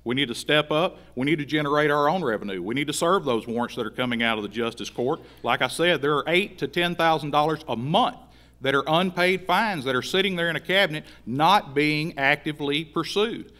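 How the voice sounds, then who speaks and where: A man's voice: a little high, fairly quick, bright, forceful tone. A man answering as a candidate at a forum, assertive and repeating phrases for emphasis. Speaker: constable candidate.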